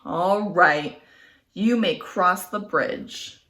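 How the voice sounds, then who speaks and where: Woman playing a greedy storybook troll, male, slow, loud, growling. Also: on the low side, full character voice. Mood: menacing. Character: ugly smelly male troll, greedy and menacing.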